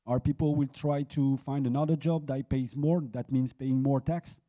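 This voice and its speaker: A man speaking on a business conference panel as a site leader, halting, with many restarts and fillers. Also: mid-range voice, fairly even intonation, mellow. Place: conference hall.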